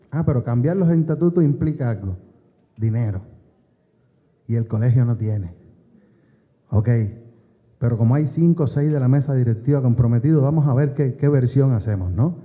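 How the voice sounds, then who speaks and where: A man from Cuba, president of a professional college, giving a conversational conference talk with frequent hesitations and wry asides. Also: mid-range voice. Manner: conversational, many filler "eh"s and restarts, wry asides. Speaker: president of a state optometry college, forum speaker. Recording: phone-like band, long hall reverb.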